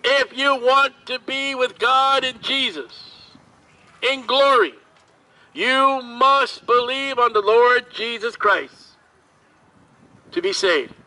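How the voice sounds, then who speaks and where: A man preaching outdoors at a market, his voice pitched very high. He speaks very slowly, stretching his words. His tone is sharp and piercing, picked up from across the street. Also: poor audio. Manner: slow, drawn-out shouted preaching. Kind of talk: open-air street preaching.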